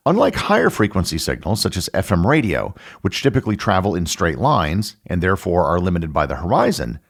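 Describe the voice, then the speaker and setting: A middle-aged American man hosting a podcast, reading scripted educational narration in a measured, explanatory way. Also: low-pitched, gravelly. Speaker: podcast host.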